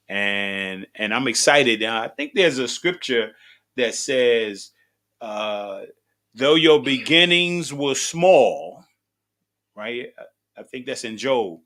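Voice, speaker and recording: Male podcast host, sixties, bright tense voice, upbeat and rambling. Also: mid-range voice. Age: 60s. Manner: upbeat and rambling, many fillers and restarts. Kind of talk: casual chat.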